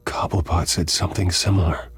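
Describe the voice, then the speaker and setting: Guy doing a super deep serious vigilante detective for a video game, controlled and terse, bit of rumbly noise. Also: steady tone, brisk, lightly acted. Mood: grim, focused.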